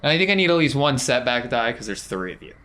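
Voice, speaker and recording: A man's voice: medium pitch, big pitch swings, quick pace, sharp tone. A man acting as game master, explaining rules casually and encouraging the players. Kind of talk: running a tabletop game, casual.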